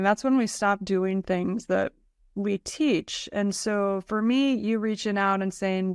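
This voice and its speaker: Woman, middle-aged, podcast host, smooth with vocal fry, effusive, earnest, conversational, dry close mic. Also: mid-range voice, no echo. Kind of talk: conversational interview. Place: indoor room, video call. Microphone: desk microphone, close.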